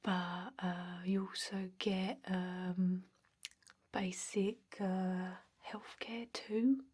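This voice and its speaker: Slow, whispering deadpan energy vampire played by a woman. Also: medium pitch. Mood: bored, chatty. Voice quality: soft, breathy, often whispered. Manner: halting, monotone, hesitant, deadpan. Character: bored, droning female energy vampire office clerk named Evie. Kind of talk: scripted ASMR roleplay.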